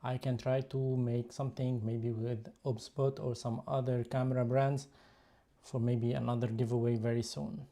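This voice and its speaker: A man with a Lebanese accent hosts a casual photography live stream, chatting as he shows off his cameras. His voice is deeply dark and mellow, sitting in an average pitch for a man. English is not his first language, and he talks it through in an easy, conversational way. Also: flat delivery, moderate pace. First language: Lebanese Arabic. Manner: chatty, explaining gear while showing it. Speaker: YouTube photography channel host.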